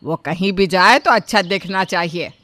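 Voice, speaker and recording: A woman's voice: lowish voice, normal speed, a bit bright, dry recording, close to an everyday voice. Woman playing a bossy scolding mother-in-law. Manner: scolding, commanding. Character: bossy older mother-in-law, sharp-tongued grandmother. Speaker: voice actor. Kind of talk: Hindi storybook drama acting.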